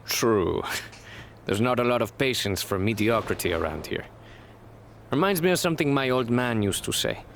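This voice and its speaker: An actor playing a friendly, sincere, reflective wrestler in a video game cutscene. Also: male voice, medium pitch, lively intonation, moderate pace, fairly bright tone, slight background noise. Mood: earnest, friendly.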